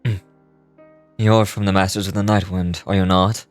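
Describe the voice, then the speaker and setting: A man's voice: low voice, little pitch movement, normal speed, close to an everyday voice. Male actor as imposing warrior leader, stern, formal, terse, commanding, video game character, light background music. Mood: cold, commanding.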